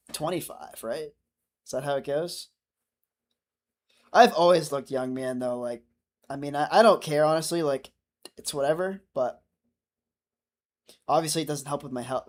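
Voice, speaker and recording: Male streamer, 25, casual chatty delivery, slightly raised pitch. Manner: chatty, rambling, self-correcting. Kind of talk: casual livestream chat reading comments.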